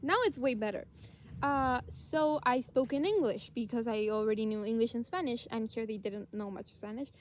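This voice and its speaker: A young woman co-hosting a casual chat, talking in an easygoing way with frequent "uh" pauses. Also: on the high side, a little hiss or hum. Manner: easygoing, halting with "uh" fillers. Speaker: podcast co-host.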